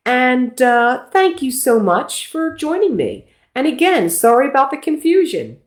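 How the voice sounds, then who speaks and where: A woman's voice: sits high, unhurried. Middle-aged nurse lady doing a casual livestream, swoops up and down a lot, roomy echo. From Philadelphia. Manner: chatty, self-deprecating, lively.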